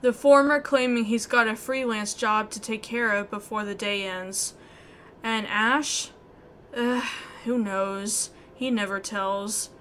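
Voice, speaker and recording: A woman reading scripted story narration aloud in an expressive way. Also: on the high side, slow pace, slight background noise. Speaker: video game player reading narration aloud. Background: music.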